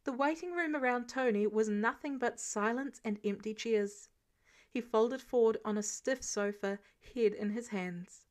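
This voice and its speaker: Higher-pitched woman narrating a story expressively. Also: unhurried, a little mellow. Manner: acts out dialogue. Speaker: audiobook narrator of fan fiction.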